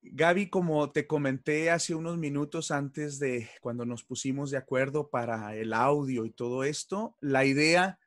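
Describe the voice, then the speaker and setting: A man's voice: medium pitch, unhurried, no room sound. A man with a Mexican accent hosting a conversational interview, speaking politely and somewhat hesitantly as he sets up his questions. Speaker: interview host.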